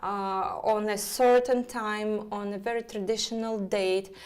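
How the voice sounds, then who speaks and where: Slow, halting woman with a Russian accent. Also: medium pitch, steady tone, darkish tone. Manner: halting, many fillers and restarts. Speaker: astrologer. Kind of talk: live-streamed forecast talk to viewers.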